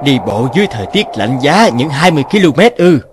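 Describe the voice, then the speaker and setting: A man's voice: a little high, sing-song, fast talker, a little hiss or hum. A man playing a kindly middle-aged garage boss in a cartoon, considerate and plain-spoken. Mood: surprised. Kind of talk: cartoon dubbing. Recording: music behind the voice.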